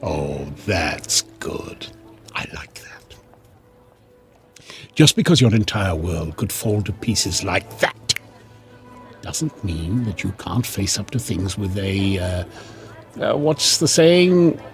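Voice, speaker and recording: An actor playing a sardonic, chatty devil in an audio drama, theatrical and mocking, with a husky voice. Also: male voice, deep voice, sing-song, slow, pauses often, faint music behind, exaggerated voice. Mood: gleeful, mocking. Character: sardonic, wheedling male devil, casual and mocking.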